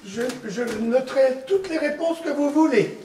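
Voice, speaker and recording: An actor playing a cheeky petty crook who jokes in cocky slangy banter, in a film comedy. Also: male voice, very high-pitched, animated, unhurried, dark tone. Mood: teasing. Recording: echoey room, far mic, faint hiss.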